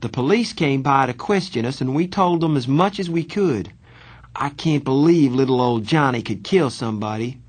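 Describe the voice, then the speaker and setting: A man's voice: mid-range voice, animated, moderate pace, pitched above the performer's own voice, lightly acted. A man voicing a worried, affectionate teenage brother in an audiobook, earnest and plain-spoken with folksy slang. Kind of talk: audiobook reading of a letter.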